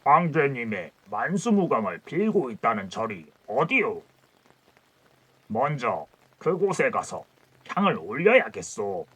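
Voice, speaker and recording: A man voicing an imperious, demanding imperial envoy in a storybook tale, with a rough voice and commanding archaic speech. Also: mid-range voice, sing-song, unhurried, fairly bright tone, full character voice, poor audio. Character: imperious Tang envoy, male, demanding and suspicious.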